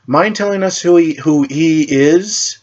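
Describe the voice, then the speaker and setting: A man's voice: on the high side, unhurried, a little mellow, muffled recording, voice pushed up, natural voice. A man voicing a skeptical, needling teenage speedster hero in a superhero story, with a wry manner. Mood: snarky.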